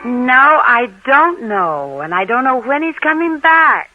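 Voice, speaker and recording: An actress playing an anxious, evasive wife in a 1950s radio drama, urgent and coaxing. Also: female voice, on the high side, big pitch swings, unhurried, over loud music. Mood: strained, coaxing. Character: anxious, evasive young wife, female, firm and pleading. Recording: noisy, muffled old radio recording.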